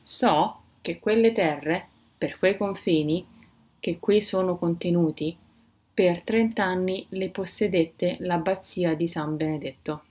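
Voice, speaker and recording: Lower-pitched woman reading examples. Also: a little slow, a little mellow, husky. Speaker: reader of Italian example phrases. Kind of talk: scripted reading of example sentences.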